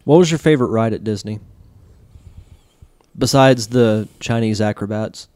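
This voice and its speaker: A man's voice: lowish voice, normal speed, darkish tone, no room sound. A man co-hosting a podcast in casual chat, easygoing and telling stories. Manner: easygoing, storytelling. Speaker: podcast co-host.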